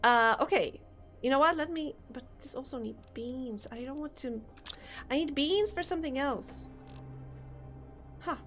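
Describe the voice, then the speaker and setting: Slow-talking woman streamer, slightly sore throat. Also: sits high, fairly bright tone. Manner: rambling, thinks aloud, chats with viewers. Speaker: game streamer. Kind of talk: casual live-stream chat while playing. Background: faint game music.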